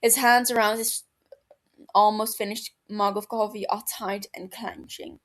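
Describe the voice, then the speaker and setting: A woman's voice: medium pitch, level tone, a little slow. A woman reading scripted fiction as an amateur audiobook narrator.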